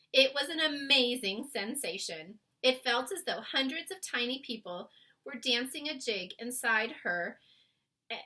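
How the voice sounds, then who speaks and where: A woman narrates a children's storybook in an expressive read-aloud style. Her voice is fairly high and very bright, with animated, wide-ranging pitch. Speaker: storybook reader.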